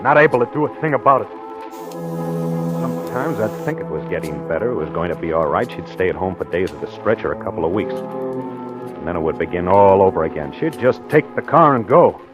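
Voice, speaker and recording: An actor playing a jealous, tormented new husband in a 1940s radio drama, brooding and agitated in a confessional manner. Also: male voice, sits low, expressive, brisk. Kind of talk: 1940s radio acting, confessional first-person narration. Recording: vintage radio drama with music behind.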